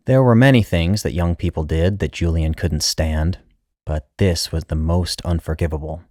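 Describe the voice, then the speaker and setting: A man's voice: low voice, darkish tone, no echo, studio quality. A man reading a literary short story as its narrator, in a scripted style.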